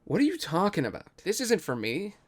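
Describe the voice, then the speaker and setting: A man's voice: high voice, huge pitch swings, fairly quick, voice pushed up, exaggerated voice. A man voicing a frustrated, self-doubting young archer who pleads and hesitates, in a dramatic story reading. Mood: reluctant.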